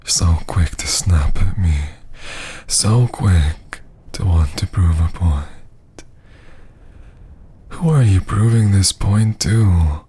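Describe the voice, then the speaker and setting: A very slow, deep-voiced vampire lord played by a man in an intimate ASMR roleplay. He is seductive, menacing and condescending, teasing in a husky half-whisper that drips with command. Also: mellow, slight background noise. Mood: mocking, intrigued.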